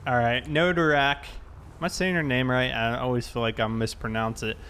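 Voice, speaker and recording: A man's voice: mid-range voice, steady tone, moderate pace, a bit bright. Casual male game streamer, faint vehicle noise. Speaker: livestreamer playing a police officer. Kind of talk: casual livestream chat over gameplay.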